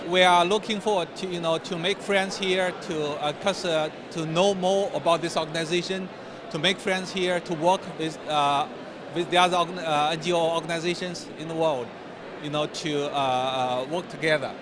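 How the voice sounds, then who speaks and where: A man from China, a conference delegate giving a hesitant vox pop answer full of pauses and fillers. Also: a little high, fairly even intonation, noisy background.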